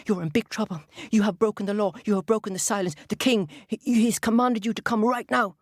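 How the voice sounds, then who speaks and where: A woman voicing a busy dragonfly servant, brisk and scolding. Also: mid-range voice, fairly even intonation, no echo, studio quality, pitched above the performer's own voice, close to an everyday voice. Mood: urgent.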